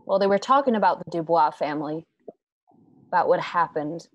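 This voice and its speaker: A woman's voice: on the low side, a little fast, muffled recording, natural voice. A woman playing a troubled, bitter frontier wife in a radio drama, weary and intense.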